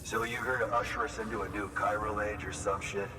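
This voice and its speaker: An actor playing a gruff, folksy outpost worker in a video game, speaking plainly and bluntly. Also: male voice, on the low side, level tone, brisk, lightly acted. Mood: gruff, casual. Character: gruff, folksy grown man working a frontier outpost. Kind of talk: video game cutscene acting. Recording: roomy echoing hall, distant mic, ambient noise.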